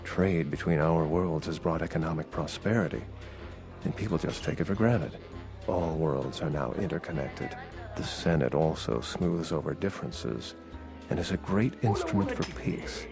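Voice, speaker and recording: A deep-voiced, creaky statesman in a sci-fi video game, earnest and guarded. He talks in a measured, formal, grave way over music. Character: earnest, guarded political insider fearing civil war. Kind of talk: video game character dialogue.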